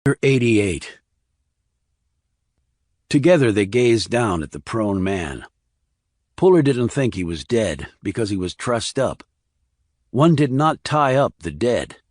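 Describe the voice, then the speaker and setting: A man's voice: mid-range voice, sing-song, slow pace, pauses often, a little mellow, dry recording, studio quality. A man narrating a crime thriller audiobook as a scripted reading.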